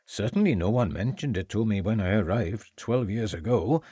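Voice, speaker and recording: A man voicing a loud, guarded male headmaster with a Welsh accent. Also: on the low side, expressive, normal speed, husky, dry recording.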